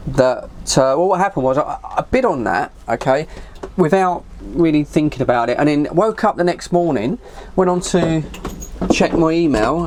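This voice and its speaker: A man's voice: a little high, darkish tone. A middle-aged man with an English accent chats casually and ramblingly to viewers, self-deprecating and mildly sweary. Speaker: hobbyist vlogger and CB radio enthusiast. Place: shed.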